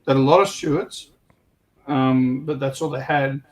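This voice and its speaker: A man's voice: mid-range voice, fairly even intonation, measured pace. A man chatting casually as a livestream panelist, in a tentative, loosely phrased way. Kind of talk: casual hobby chat.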